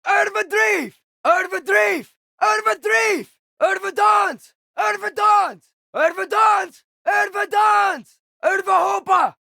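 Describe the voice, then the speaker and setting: A man's voice: very high voice, slow, creaky, hardly any pauses, sharp tone, no room sound, raised voice, clean studio sound, full character voice. A young male actor with an American accent voicing an eager, earnest teenage boy archer in video game combat callouts. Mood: urgent. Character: eager teenage boy warrior archer, loyal, quick to react.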